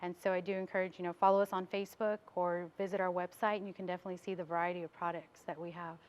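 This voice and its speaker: A woman's voice: fairly low pitch, level tone, racing. An American woman being interviewed as a business owner in a TV studio, explaining things in a casual way.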